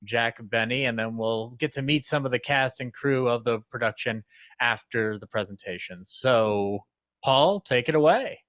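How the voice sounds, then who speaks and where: A man's voice: medium pitch, moderate pace, fairly bright tone. A man presenting, giving a spoken introduction to an event. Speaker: presenter of a radio recreation.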